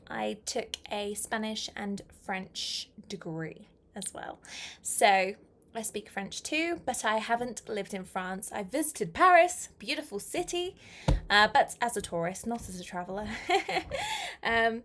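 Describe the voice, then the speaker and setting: A woman's voice: a little high, lively intonation, slow, a bit bright, slight background noise. A young woman, a teacher chatting casually on a live stream, answering viewers' comments and laughing often. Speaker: English teacher.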